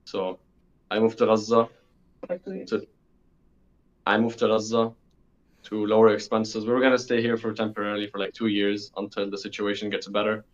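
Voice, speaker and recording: A man's voice: on the low side, little pitch movement, normal speed, long pauses. Teenage young man on a dull call. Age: teens, sixteen. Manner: informal, repeats himself for emphasis. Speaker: interview guest. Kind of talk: casual conversational interview.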